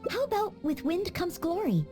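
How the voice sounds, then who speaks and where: Rich: An earnest young maid knight with a high, lively voice that rises and falls freely. She speaks courteously and formally, eager to serve, over soft game music. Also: normal speed.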